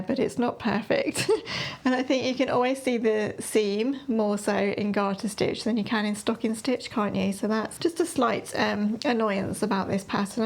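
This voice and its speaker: A woman with a slightly croaky voice, chatting casually as a sewing and knitting vlogger and laughing between thoughts. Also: mid-range voice. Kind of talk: chatty catch-up.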